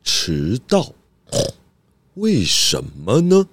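A man playing a calm, clever pig detective who leads with care in a children's audio drama. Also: medium pitch, very slow, husky, dry recording, heavily acted. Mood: pondering.

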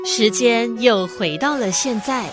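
A woman's voice: fairly high pitch, measured pace, over loud music. A woman narrating a scripted children's radio drama as a storyteller.

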